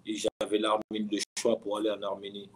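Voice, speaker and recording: A man's voice: on the low side, completely flat delivery, fairly quick, a little hiss or hum. A man in his thirties, a footballer being interviewed, talking casually and often repeating words and phrases for emphasis. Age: 30s. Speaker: professional footballer, interview guest. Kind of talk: casual conversational storytelling.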